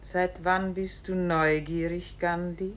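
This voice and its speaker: An actress playing a calm spirit voice in a German radio play, measured, grave and oracular, on an old recording. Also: female voice, lowish voice. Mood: tender, calm. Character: calm female spirit voice guiding a dead man's soul. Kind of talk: German radio play acting, solemn and poetic.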